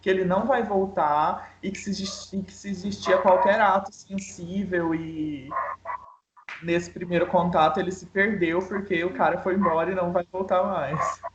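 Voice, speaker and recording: A woman's voice: lowish voice, steady tone, normal speed. A woman in an online book club, talking through a short story in a thoughtful, analytical way with frequent restarts. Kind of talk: casual literary discussion. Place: home, online video call. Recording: echoey room with noise.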